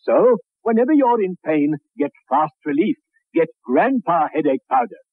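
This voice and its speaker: A man's voice: fairly high pitch, very animated, normal speed, slightly rough, no room sound. A man reading a 1960s radio advertisement as a commercial announcer. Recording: muffled, narrow old radio recording.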